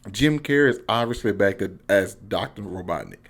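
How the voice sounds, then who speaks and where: A man's voice: fairly low pitch, normal speed. A man with an American accent giving a casual, slangy, emphatic one-take review as a YouTuber. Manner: slangy, informal, excitable, emphatic.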